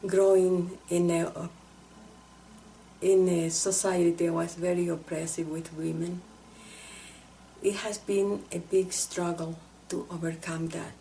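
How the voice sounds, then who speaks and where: A woman from El Salvador gives a halting, reflective, earnest personal testimony. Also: lowish voice, level tone, gravelly, mellow, slight background noise, rough recording.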